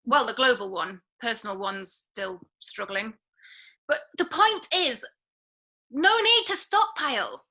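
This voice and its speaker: A woman's voice: on the high side, animated, measured pace, bright, forceful tone, heavily acted. A woman playing a harried, wry young working mother in a script table read, with sardonic banter. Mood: exasperated, wry. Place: remote video call table read. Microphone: video call.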